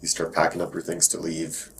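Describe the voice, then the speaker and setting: Male detective, low-pitched, reassuring and informal interviewer, faint noise on bodycam audio. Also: completely flat delivery, a little fast, creaky. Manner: low-key, reassuring, casual phrasing. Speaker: police detective. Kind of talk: informal questioning in a police interview. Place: police questioning, recorded on bodycam. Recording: noisy police bodycam audio.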